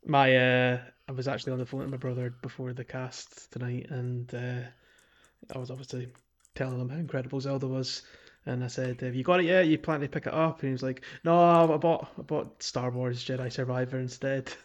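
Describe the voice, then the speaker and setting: A man hosting a podcast in a casual, chatty style, speaking in a slightly halting way. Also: mid-range voice, flat delivery. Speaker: podcast host. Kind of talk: casual podcast chat about a video game.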